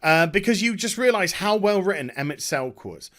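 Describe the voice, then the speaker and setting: A man with a fairly high voice gives a casual, spoken recap for a gaming video. He is enthusiastic and emphatic, rambling along in halting bursts as he searches for the next phrase. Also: animated, fairly bright tone.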